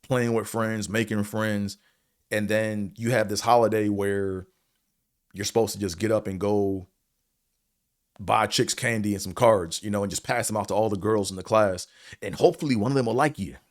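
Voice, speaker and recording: A man with a deep, low voice delivers a casual opinion monologue as a show host. He talks bluntly and swears freely, wandering between points with a slight stutter and filling gaps with "man" and "you know". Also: fairly even intonation, moderate pace. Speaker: show host reviewing a series.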